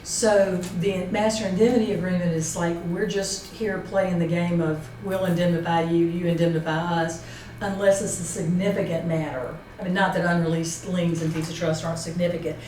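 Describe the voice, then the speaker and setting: Echoey, lower-voiced woman presenting a seminar. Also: steady tone, normal speed, hardly any pauses, darkish tone. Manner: explains step by step, checking points with a colleague. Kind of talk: informal instructional talk with questions to a co-presenter. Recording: roomy echo, distant mic, faint noise.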